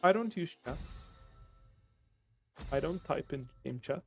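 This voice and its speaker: A man streaming a game, casually answering viewer questions in a relaxed, friendly way. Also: fairly high pitch, measured pace, gravelly, soft background music. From Belgium. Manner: relaxed and friendly, with easy fillers.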